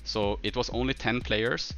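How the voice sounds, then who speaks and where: A man from northern Sweden with a Swedish accent, chatting casually as a game livestreamer and answering viewers in a halting way, thinking aloud. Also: mid-range voice, fairly even intonation, a bit bright, soft background music.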